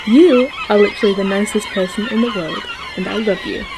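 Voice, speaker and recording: A woman's voice: medium pitch, expressive, a little fast, noisy background, lightly acted. A woman acting a playful, affectionate girlfriend in a romantic audio roleplay, with a theatrical, teasing manner. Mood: amused, affectionate. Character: playful, dramatic, affectionate girlfriend on a beach picnic. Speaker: voice actor.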